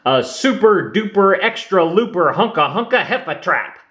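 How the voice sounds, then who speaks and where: A man's voice: high-pitched, expressive, moderate pace, pitched above the performer's own voice. A man voicing a bouncy, boastful male tiger in a storybook reading. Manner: loud, boastful.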